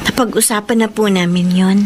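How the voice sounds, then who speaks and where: A woman's voice: mid-range voice, fairly even intonation, fairly quick, background noise, close to an everyday voice. An actress with a Filipino accent playing a polite, hurt young woman in a radio drama, respectful and hesitant. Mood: uneasy. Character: polite, hurt young woman, troubled girlfriend writing a letter. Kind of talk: Tagalog radio drama acting. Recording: music under the voice.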